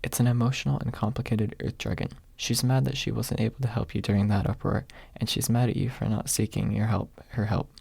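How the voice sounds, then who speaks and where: A man voicing a composed, formal knight who lectures calmly in a fantasy audiobook. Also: fairly low pitch, fairly quick, gravelly, hardly any pauses, breathy edge, no room sound, full character voice. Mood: lecturing.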